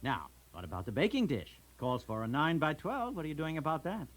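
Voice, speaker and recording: An actor playing a pedantic, condescendingly helpful husband who lectures insistently in a 1960s radio domestic comedy. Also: male voice, a little high, sing-song, a little fast. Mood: fussy, insistent. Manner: lecturing, insistent, talks over his wife. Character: pedantic middle-class husband, fussy about arithmetic, condescendingly helpful.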